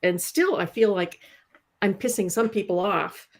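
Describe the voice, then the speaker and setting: A woman's voice: medium pitch, a little slow. A woman hosting a casual interview, chatting and putting questions to a guest. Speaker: show host and comic creator.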